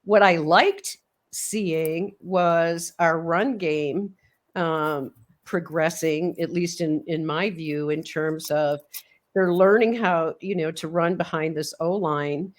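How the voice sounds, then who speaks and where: A woman with a fairly low voice hosts a relaxed sports chat. She breaks down the game in a steady, measured, analytical way. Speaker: host.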